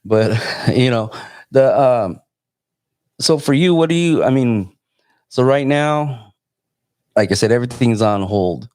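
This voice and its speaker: A man in his forties from San Jose, California, hosting a live phone interview in a relaxed, meandering way. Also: medium pitch, measured pace, long pauses, no echo, clean studio sound. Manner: laid-back, meandering. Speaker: host.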